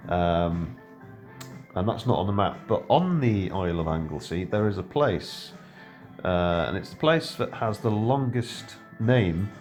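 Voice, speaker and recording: A man's voice: low voice, a little mellow. A middle-aged man giving casual, rambling gameplay commentary, full of ums and self-corrections. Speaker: game video creator.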